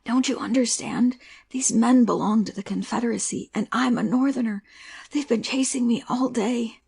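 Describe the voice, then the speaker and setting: Casting: fugitive soldier voiced by a woman, spent and desperate, husky with gravelly fry, earnest pleading, audio drama. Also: fairly high pitch, moderate pace, darkish tone, exaggerated voice. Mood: desperate explaining. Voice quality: breathy, husky, often half-whispered. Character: exhausted, desperate hunted Northern soldier, male, pleading. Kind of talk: amateur audiobook play acting.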